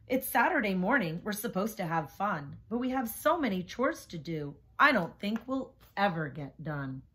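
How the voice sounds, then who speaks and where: A woman's voice: mid-range voice, very animated, normal speed, fairly bright tone, breathy edge. A woman voicing an impatient young girl in a picture book, complaining dramatically about having to work. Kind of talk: picture-book character reading.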